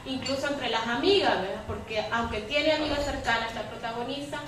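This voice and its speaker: A woman's voice: fairly high pitch, a little fast, fairly bright tone. A woman giving spontaneous, explanatory panel commentary, often closing phrases with a tag question. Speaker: panelist from a women's rights organization. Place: echoey room at a public debate. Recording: very echoey room, distant mic, faint noise.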